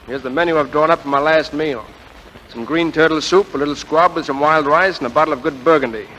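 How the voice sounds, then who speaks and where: An actor playing a wisecracking young American prisoner in 1940s radio drama style, with a flippant, sardonic delivery. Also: male voice, on the high side, a little fast, fairly bright tone, lightly acted. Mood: flippant, wry. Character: wisecracking young American adventurer condemned to a firing squad. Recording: noisy vintage 1940s radio recording.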